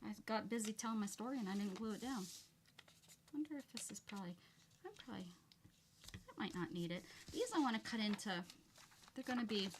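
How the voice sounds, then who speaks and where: A woman's voice: medium pitch, lively intonation, brisk, long pauses, a little hiss or hum. A woman with a light Southern American accent, chatting casually with viewers and telling rambling stories while she works on a craft project. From United States. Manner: rambling, chatty storyteller reading comments aloud. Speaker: craft channel host.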